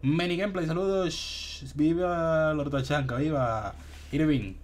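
Laid-back young gamer. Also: male voice, a little high, takes it slowly. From Dominican Republic. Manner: chatty, laid-back, mixes English words in. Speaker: gaming streamer. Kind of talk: casual gameplay commentary. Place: home room, indoors.

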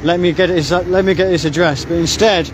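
A man's voice: high-pitched, fairly even intonation, a little fast. A man confronting someone in the street, blunt and insistent, repeating himself, recorded on a phone outdoors. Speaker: confronting member of a hunting group. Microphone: phone held while recording. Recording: outdoor traffic noise behind.

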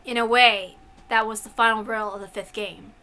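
A woman's voice: fairly high pitch, fairly even intonation, a little fast, pushed and bright. A woman voicing an earnest, troubled teenage girl in a visual novel, in an insistent, questioning manner. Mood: puzzled. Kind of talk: visual novel character reading.

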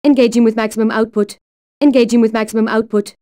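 A woman's voice: fairly high pitch, flat delivery, quick pace, mellow, lightly acted. A woman voicing an efficient, composed female combat android in video game lines.

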